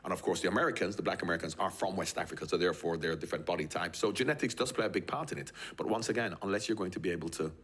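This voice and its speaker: A man's voice: deep voice, very fast, hardly any pauses, fairly bright tone, slightly rough. An older man from Jamaica, raised in England, talking at length as a guest and often repeating words as he thinks. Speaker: guest, former footballer. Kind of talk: conversational interview.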